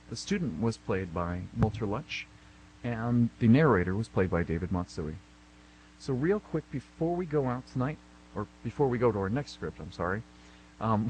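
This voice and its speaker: Animated man announcing radio theatre. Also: medium pitch, slight background noise, rough recording. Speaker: narrator and announcer. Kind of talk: scripted narration and announcing of amateur radio theatre.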